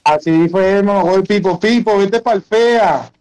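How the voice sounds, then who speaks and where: A man's voice: high-pitched, measured pace, darkish tone. A man with a Venezuelan accent chatting casually while gaming, giving slangy, repetitive instructions in a bossy way. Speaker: gamer livestreamer. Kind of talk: casual chat while playing an online game.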